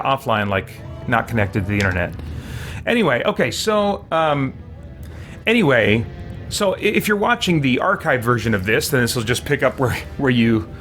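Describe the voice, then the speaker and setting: A man's voice: medium pitch, fairly bright tone. Man, game streamer, casual commentary, rambling, self-deprecating, faint game music behind. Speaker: streamer, let's play host.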